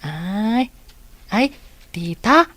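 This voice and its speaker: A woman's voice: medium pitch, lively intonation, drawn-out words, a bit bright, dry recording, full character voice. A woman with an Ilocano accent playing a helpful, curious young niece in an audio drama. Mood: eager, curious. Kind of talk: radio-style drama dialogue.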